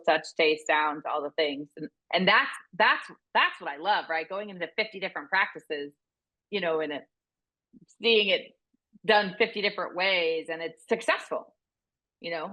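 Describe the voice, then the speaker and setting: Intense, bright-voiced woman consultant. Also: sits low, moderate pace, rough recording. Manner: loud, intense, passionate delivery. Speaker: veterinary business consultant. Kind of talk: conversational interview guest.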